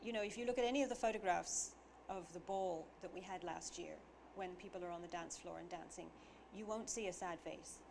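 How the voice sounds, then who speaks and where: A woman's voice: fairly low pitch, fairly quick, gravelly, few pauses. Woman guest with audible hiss behind. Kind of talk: studio talk show interview.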